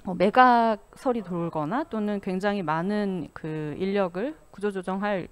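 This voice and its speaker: A middle-aged woman giving a formal, measured expert presentation on a panel, into a handheld microphone through a mask. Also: medium pitch, clean tone. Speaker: panelist, consulting company CEO. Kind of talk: formal presentation on industry trends. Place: stage at an expo panel, indoors. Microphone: handheld microphone, speaking through a face mask.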